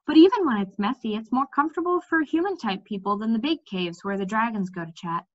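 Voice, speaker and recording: Woman reading as a brisk, animated young princess. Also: a little high. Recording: slightly dull webcam audio.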